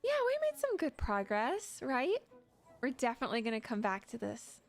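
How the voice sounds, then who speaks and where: A young woman with a fairly high voice chats casually with her livestream viewers, giggling often as she talks. She speaks into a desk microphone, and faint game music plays underneath. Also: huge pitch swings, moderate pace. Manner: giggly, chatty, talks to chat. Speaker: streamer.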